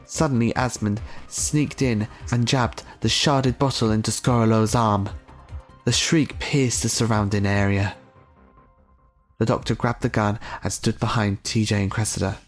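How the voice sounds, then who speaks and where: A mid-pitched storyteller narrating a dramatic audiobook at a slow pace. Music runs softly under the reading. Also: fairly even intonation.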